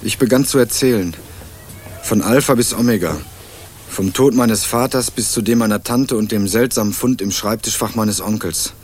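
An actor playing an earnest young male student investigator in a radio crime drama, narrating and questioning in a measured, dry way. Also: fairly low pitch, a little mellow, lightly acted. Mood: measured narration. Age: young adult. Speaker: narrator and lead character.

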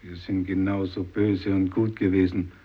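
A man's voice: low-pitched, little pitch movement, moderate pace, breathy edge. A man acting a solemn, resolute fisherman facing death in a 1950s radio play, speaking with insistence. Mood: grave, resolute. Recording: dull, noisy old radio recording.

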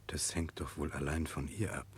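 A man's voice: extremely low pitch, level tone, moderate pace, husky, exaggerated voice. A man playing an earnest, dutiful prince in a 1960s German radio drama, grave and hesitant in his speech. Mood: guarded. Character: Russian prince, earnest, dutiful, burdened by conscience.

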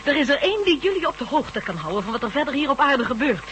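A woman playing a resolute woman in a radio play, speaking quietly and firmly. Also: sits high, very animated, quick pace, slight background noise. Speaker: Val, a woman staying behind. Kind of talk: 1980s radio play acting.

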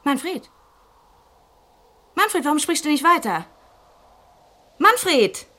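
A woman's voice: high voice, very animated, drawn-out words, bright, forceful tone, exaggerated voice. An actress playing an uneasy, trusting woman in a German radio crime drama, hesitant and questioning but obedient. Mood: frightened, hesitant. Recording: noisy vintage radio play recording.

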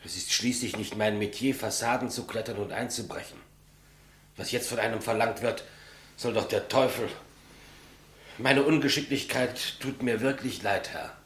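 An actor playing an elderly state executioner, polite, clumsy and matter-of-fact, in 1950s German radio play style. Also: male voice, mid-range voice, moderate pace, a bit bright, close to an everyday voice. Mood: flustered, apologetic. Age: older. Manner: deferential, plain, businesslike.

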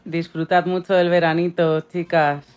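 A woman's voice: lowish voice, level tone, a little slow, a little mellow, dull audio, a little hiss or hum. A woman reading scripted narration as a radio co-host. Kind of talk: scripted narration, read book review.

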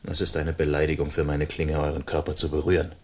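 A man's voice: a bass voice, flat delivery, fast talker, darkish tone. A man acting a proud, gallant swordsman outlaw in a radio play, speaking formally and commandingly with defiant threat.

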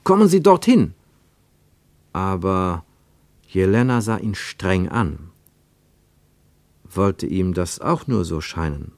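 A man reading scripted literary narration for radio in a measured, expressive way, with deliberate emphasis. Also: low voice, dry recording. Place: dry radio studio.

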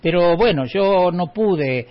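A man's voice: sits high, expressive, takes it slowly. A middle-aged man from Córdoba with a slight Cordoban Argentine accent, hosting a radio show and telling wry anecdotes in a casual chat. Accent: Cordoban Argentine Spanish, slight. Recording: dull lo-fi radio recording.

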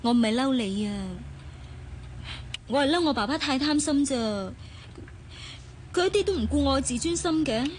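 An actress playing a proud young bride-to-be in a Cantonese radio drama, pouting and coquettish, with a Hong Kong accent. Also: female voice, high-pitched, moderate pace, long pauses, a little hiss or hum, natural voice. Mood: sulky. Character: proud, pouting young bride-to-be, teasing her rich fiancé. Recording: music behind the voice.

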